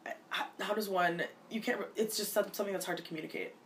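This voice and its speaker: A woman's voice: medium pitch, a little hiss or hum. A woman in her twenties from Minnesota, a college student answering interview questions casually, often restarting her sentences. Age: 20s. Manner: fast, with many restarts and filler likes.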